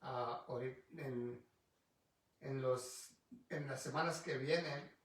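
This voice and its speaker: A male pastor whose first language is English, teaching a Bible study in Spanish, halting and restarting often as he looks for words. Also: mid-range voice, fairly even intonation. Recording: distant mic in a very echoey room.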